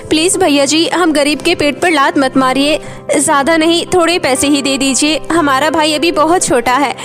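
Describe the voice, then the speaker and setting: A woman as a high-voiced, earnest orphan girl. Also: lightly acted. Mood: pleading. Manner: earnest, tender, pleading. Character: poor orphaned girl, caring, pleading elder sister. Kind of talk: Hindi cartoon moral story.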